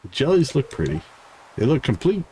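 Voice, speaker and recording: A man's voice: mid-range voice, very animated, brisk, dark tone, breathy edge. A man livestreaming a game, reading character dialogue aloud with stumbles and short asides. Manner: reads on-screen text aloud with stumbles and asides. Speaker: game streamer.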